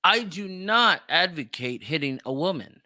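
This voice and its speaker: A man's voice: fairly high pitch, animated, a little slow, bright, forceful tone, dry recording, studio quality. A man hosting a solo opinion podcast, speaking emphatically and repeating phrases for effect. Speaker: podcast host.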